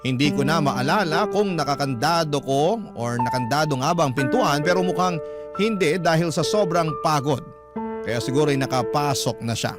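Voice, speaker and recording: Male radio storyteller, fairly high register, first-person drama narration over prominent music. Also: expressive, normal speed. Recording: prominent music bed behind voice.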